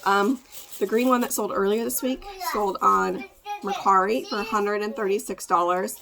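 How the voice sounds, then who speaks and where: A woman with a congested, raspy voice, chatting casually as she packs orders to ship at home, rambling with lots of fillers. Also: mid-range voice, steady tone, normal speed. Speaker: part-time online reseller. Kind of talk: casual ship-with-me vlog chat.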